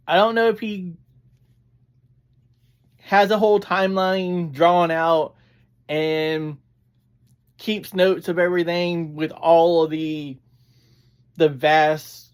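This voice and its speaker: Slow-paced, halting casual reviewer. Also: mid-range voice, clean tone. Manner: halting, digressive. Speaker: audio drama reviewer, host. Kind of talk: casual rambling review chat.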